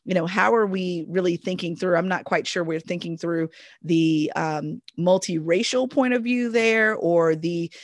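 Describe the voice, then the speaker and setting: A woman's voice: lowish voice, unhurried, no room sound, clean studio sound. A woman co-hosting a casual conversation, thoughtful and questioning, talking things through. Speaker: podcast co-host.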